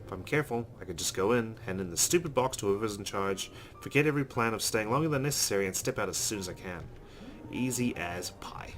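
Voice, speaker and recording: A man's voice: fairly low pitch, animated, fast talker, fairly bright tone. A man hosting a let's play, reading visual novel narration aloud. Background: game music.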